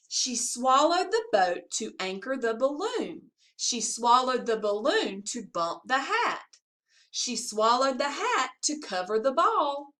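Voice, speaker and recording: A woman with a high-pitched voice reads a children's story aloud. She takes her time with each line and swings widely up and down in a sing-song, expressive way, like a school staffer reading to young pupils. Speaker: assistant principal reading to pupils. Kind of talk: children's picture-book read-aloud.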